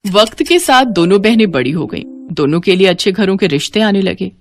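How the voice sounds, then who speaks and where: A woman's voice: medium pitch, lively intonation, a little fast, faint music behind. A woman narrating a scripted moral story as a storyteller.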